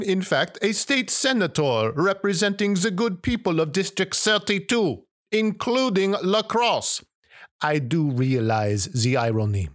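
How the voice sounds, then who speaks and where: An earnest middle-aged state senator with a French accent, as an actor plays him. He unspools his tale at a leisurely crawl, his pitch leaping and dipping all over the place. The sound is dry and close, with no room around it. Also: a bit bright, no echo. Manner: slow, theatrical storytelling. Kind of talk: stage monologue.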